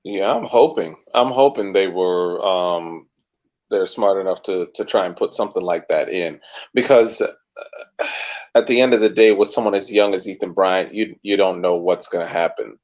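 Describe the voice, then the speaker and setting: Brief: deep male co-host, stumbling and repeating, muffled lo-fi recording. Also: darkish tone. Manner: halting, repeats words. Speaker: podcast co-host. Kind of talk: casual sports chat.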